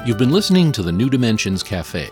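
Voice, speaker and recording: A man reading a scripted radio program outro in a smooth announcer manner. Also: lowish voice, sing-song, fast, music in the background.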